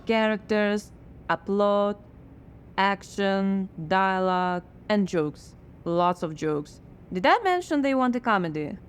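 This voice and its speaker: A woman voicing a sardonic playwright in a radio play, firing off dry, deadpan comebacks. Her voice sits at an ordinary middle pitch with a faint hiss behind it. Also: slow pace. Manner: sarcastic, wry, deadpan comebacks. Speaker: writer. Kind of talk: radio drama acting.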